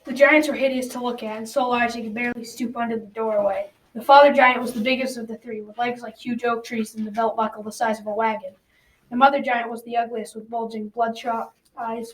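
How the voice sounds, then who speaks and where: A young teenage girl reading scripted folktale narration for a school radio drama. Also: on the high side. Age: young teen. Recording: noisy, distant mic with clicks.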